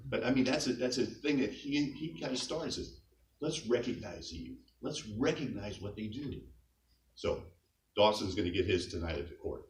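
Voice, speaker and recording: A man's voice: on the low side, lively intonation, moderate pace. A middle-aged man and scout leader giving an earnest, anecdotal funeral eulogy. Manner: earnest, anecdotal, occasional chuckles. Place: church or funeral hall. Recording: echoey hall, mic at a distance, faint noise.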